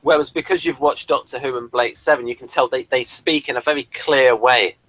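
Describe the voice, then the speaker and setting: A man with an English accent explaining things in casual discussion, often halting and rephrasing as he goes. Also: medium pitch, fairly even intonation, fairly bright tone, low-quality recording. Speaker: podcast reviewer.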